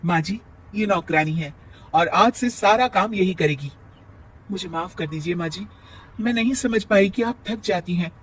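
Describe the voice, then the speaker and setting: A man's voice: high-pitched, normal speed, slightly rough, far from the mic. A man voicing an exasperated, complaining young working daughter-in-law in a family story. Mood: conciliatory.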